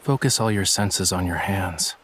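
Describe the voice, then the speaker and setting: A man's voice: deep voice, unhurried, a bit bright, a little hiss or hum. A young man voice-acting a whimsical painter in a video game cutscene, wry, teasing and nonchalant. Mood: coaxing, instructive. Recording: music behind the voice.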